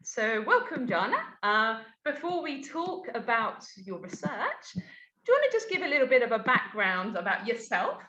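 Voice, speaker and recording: A woman hosting an online lunchtime talk in a relaxed, chatty way with frequent ums. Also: on the high side, normal speed. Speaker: host. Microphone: video call. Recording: roomy, dull video call audio.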